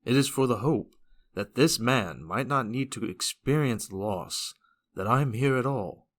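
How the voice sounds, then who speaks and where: A man's voice: medium pitch, animated, measured pace. A man voices a blunt young-hearted fantasy hero for an audio drama. He speaks formally and very literally, with earnest weight and widely moving intonation. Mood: sombre, earnest. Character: blunt, earnest male fantasy hero, socially naive, grieving.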